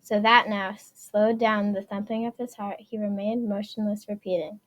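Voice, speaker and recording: A woman's voice: mid-range voice, level tone, normal speed, low-quality recording. A woman reading scripted story narration aloud, carefully and with the odd stumble. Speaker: student reader.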